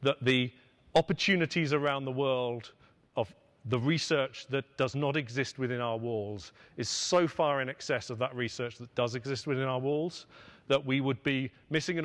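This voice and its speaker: Middle-aged man giving a measured keynote speech. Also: medium pitch, a bit bright. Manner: deliberate phrasing with emphatic pauses. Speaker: pharmaceutical finance executive giving a keynote. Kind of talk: prepared conference keynote speech. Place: on stage at a podium in a conference hall. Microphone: podium microphone.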